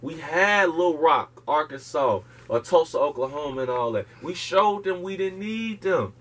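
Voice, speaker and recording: A man's voice: a little high, expressive, measured pace, fairly bright tone. A man speaking, lecturing emphatically and profanely as an interview guest, firing off rhetorical questions. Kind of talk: impassioned casual interview talk.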